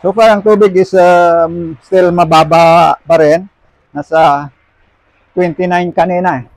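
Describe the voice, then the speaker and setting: An older man with a Filipino accent giving casual, halting vlog commentary, mixing in English words. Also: high-pitched, steady tone. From Philippines. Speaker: fish farmer and vlogger.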